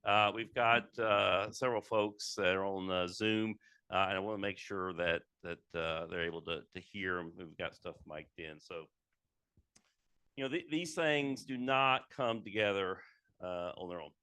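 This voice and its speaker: A middle-aged man, a retiring military officer, giving an informal ceremony speech in a loud voice, with many fillers and restarts. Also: sits low, unhurried, clear, resonant tone, dry recording. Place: indoor ceremony venue.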